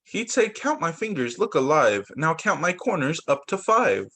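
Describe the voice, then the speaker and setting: A young man who teaches young children, reading picture books aloud in a sing-song, expressive way over a video call. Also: on the high side, normal speed. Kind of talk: read-aloud story time for young children.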